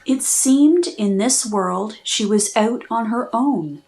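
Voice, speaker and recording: A woman reading a children's storybook aloud, narrating expressively in measured, rhythmic rhyme. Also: a little high, darkish tone. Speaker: children's book reader and host.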